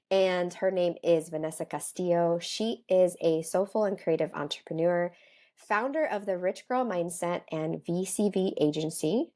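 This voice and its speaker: Woman host, slightly low voice, calm and soothing, chatty podcast style. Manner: chatty, lots of "like" fillers. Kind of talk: casual podcast interview.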